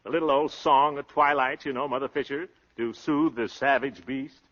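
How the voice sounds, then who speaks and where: An actor playing a brash, boastful young suitor in a 1930s radio comedy, talking in pompous, florid slang. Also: male voice, medium pitch, fairly even intonation, moderate pace, fairly bright tone, close to an everyday voice. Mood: glib. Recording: dull, lo-fi 1930s radio recording.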